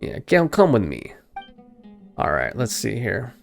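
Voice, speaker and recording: A man's voice: medium pitch, wildly swooping pitch, measured pace, gravelly, faint music behind. A man doing casual game reaction commentary as a streamer, with slangy, amused interjections.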